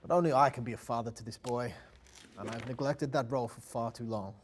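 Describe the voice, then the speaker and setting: A man's voice: medium pitch, a little fast, slight background noise, close to an everyday voice. A man playing a weary, humble father who once led his tribe, at a table read of a fantasy drama. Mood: grave, resigned.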